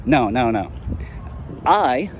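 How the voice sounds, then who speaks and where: A man's voice: mid-range voice, sing-song, extremely slow, a little hiss or hum. A man voicing a brash, profane young hero in an amateur audio drama who talks bluntly and defiantly. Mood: defiant, cocky.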